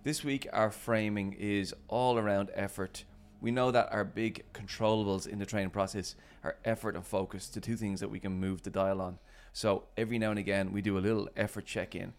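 A man hosting a casual podcast chat, upbeat and quick to laugh. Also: fairly low pitch, fairly even intonation. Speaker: podcast host and coach.

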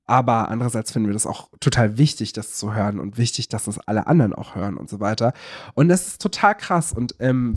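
A man's voice: medium pitch, no room sound, studio quality. A man co-hosting a casual podcast, explaining things in a searching way and often correcting himself. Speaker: podcast co-host.

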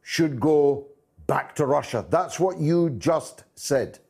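A man hosting a radio phone-in, brisk and quick to cut in on callers. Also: a little high, animated, a little mellow, breathy edge.

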